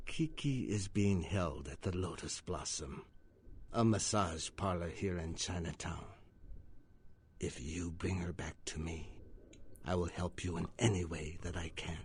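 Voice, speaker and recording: Slow-speaking, anxious, courteous father. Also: deep voice, slight background noise. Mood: grave, grateful. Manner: formal, deferential, measured speech. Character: anxious, formal, courteous middle-aged Chinese businessman and father. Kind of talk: video game voice acting.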